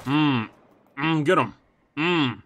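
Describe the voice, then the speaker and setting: A young-sounding man reacts to clips in slangy, relaxed chatter. His voice sits a little high. Faint noise hums under him. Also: slow pace. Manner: laid-back, swears freely, laughs at clips. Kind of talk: casual reaction commentary.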